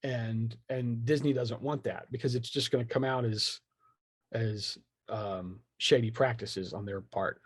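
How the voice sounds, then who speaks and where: A man's voice: mid-range voice, fairly even intonation, unhurried, breathy edge, no room sound, studio quality. A man talking casually and with strong opinions as a podcast guest, slipping into imagined quotes in slangy language. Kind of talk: animated casual conversation.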